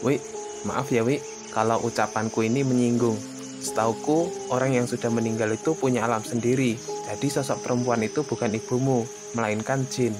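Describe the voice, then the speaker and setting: A man's voice: mid-range voice, steady tone, moderate pace, music in the background, close to an everyday voice. A man voicing an anxious, protective young hiker in an Indonesian horror cartoon, speaking colloquially and earnestly with an Indonesian accent. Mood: earnest, firm. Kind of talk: animated horror story dialogue.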